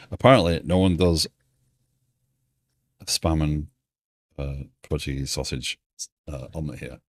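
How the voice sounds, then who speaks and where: British guy w slightly americanized accent, low voice, thinking out loud on a coding stream, dry close sound. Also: slow pace, long pauses, no room sound. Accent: British, softened by years in America. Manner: thinking aloud, stop-start, chuckling. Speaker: live streamer, programmer. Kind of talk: casual live-stream chat while coding.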